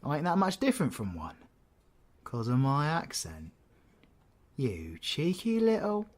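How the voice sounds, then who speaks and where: A man's voice: fairly high pitch, wildly swooping pitch, a little slow, heavily acted. A man with a British accent playing a cocky, menacing, flirtatious supervillain in an audio roleplay, taunting with slangy swearing and teasing endearments. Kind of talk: audio roleplay, villain ASMR acting.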